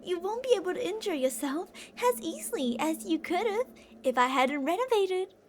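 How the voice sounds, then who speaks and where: Obsessive female yandere captor played by a woman, ASMR roleplay: high, very animated, theatrical, sugary endearments laced with menace. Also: moderate pace, slight background noise. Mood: musing, possessive. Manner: theatrical, teasing sing-song with endearments and threats. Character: older obsessive yandere woman kidnapper, possessive, menacing, sweet-talking.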